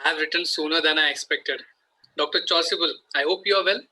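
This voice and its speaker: Guy reading a solemn mourning victorian gent, really bright forceful voice, bit of background noise. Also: a little high, fairly even intonation, fast, low-quality recording. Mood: mock mournful. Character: Jack Worthing, gentleman feigning solemn mourning. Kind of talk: amateur table read of a stage comedy.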